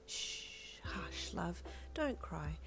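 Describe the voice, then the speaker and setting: A woman voicing a gentle, caring, motherly figure in an audio storybook, soft and breathy, tenderly consoling a child. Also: on the low side, sing-song, drawn-out words, faint music behind, full character voice. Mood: soothing. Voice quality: soft, breathy, half-whispered. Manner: tender, consoling, uses endearments.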